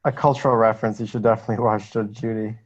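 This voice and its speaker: A man's voice: lowish voice, flat delivery, rapid-fire. A man from Canada giving brief, dry replies in a casual chat on a video call. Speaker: call participant. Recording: dull lo-fi call audio.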